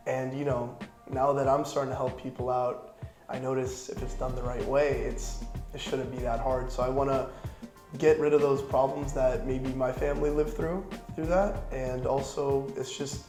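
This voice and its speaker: Young man with a flat, even voice. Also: mid-range voice, echoey room. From Florida, United States. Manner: relaxed, rambling, lots of "you know". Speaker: interviewee, young realtor. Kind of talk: casual interview answers.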